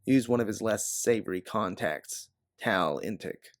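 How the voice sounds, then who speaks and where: A man's voice: on the low side, measured pace, dry recording, clean studio sound. A man voicing a guarded, hard-bitten female bounty hunter in a science fiction audiobook. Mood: bitter, matter-of-fact. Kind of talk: audiobook character dialogue.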